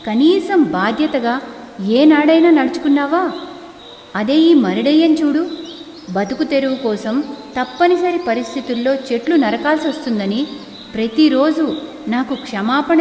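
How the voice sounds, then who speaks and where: A woman's voice: sits high, darkish tone, echoey room, big-room sound, a little hiss or hum. A woman voicing a stern but benevolent motherly forest goddess in a children's moral story, speaking formally and with command. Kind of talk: animated moral story acting.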